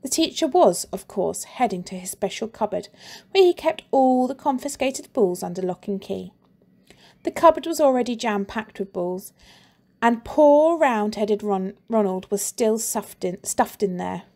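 A woman teacher reads a children's storybook aloud at an unhurried, careful pace. Her voice sits at a middling pitch, and she adds playful sound effects and plenty of expression. The read-aloud is lively and clear for young listeners.

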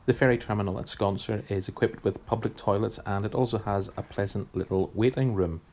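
A man's voice: on the low side, fairly even intonation, fairly quick, a little mellow. A man in his forties, a travel vlogger, delivering scripted travel narration as host.

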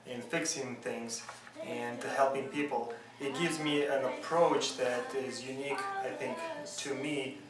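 A man in his thirties with a Russian accent, a dentist speaking earnestly in interview answers, pausing often with fillers. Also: mid-range voice, steady tone, far from the mic. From former Soviet Union. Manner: earnest, hesitant with many fillers. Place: dental office reception area, indoors. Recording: roomy echo, off-mic, music behind.

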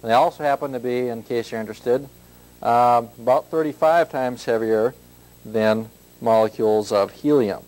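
A man's voice: mid-range voice, normal speed, darkish tone, rough recording. A man, a chemistry professor giving a playful, informal science demonstration. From United States. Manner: playful, jovial. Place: school science classroom.

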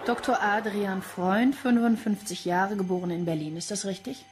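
An actress playing a cool, quick-witted policewoman with a dry, sardonic manner in a radio crime drama. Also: mid-range voice, fairly even intonation, loud music behind the voice, natural voice. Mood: stern.

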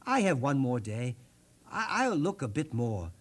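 A man voicing an earnest, kind young storybook prince full of wonder, with a gentle hesitant stammer. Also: medium pitch, big pitch swings, a little slow. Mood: pondering, considerate.

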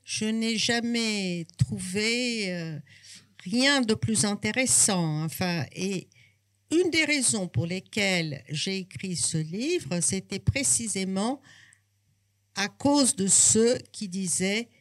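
Slow, reflective older woman. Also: mid-range voice, lively intonation. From Brazil. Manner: unhurried, reflective, hesitant restarts. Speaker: author and psychoanalyst. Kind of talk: bookshop talk. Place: bookshop, indoors. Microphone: handheld mic.

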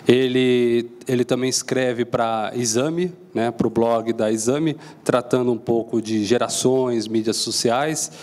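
A man's voice: mid-range voice, steady tone, takes it slowly, few pauses, a little mellow. A man in his twenties speaking from a lectern in an auditorium, formally welcoming the audience, thanking people and introducing a guest. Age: 20s. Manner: polite, thanks and introduces at length. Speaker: event host. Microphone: stand microphone.

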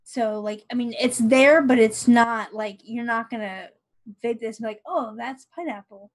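High-voiced woman explaining casually. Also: normal speed. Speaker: panelist presenting recipes. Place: bedroom at home. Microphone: video call.

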